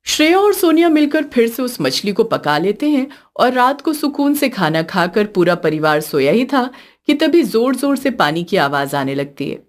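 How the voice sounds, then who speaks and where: A woman's voice: fairly high pitch, big pitch swings, moderate pace. A woman giving scripted narration as the storyteller of a cartoon tale.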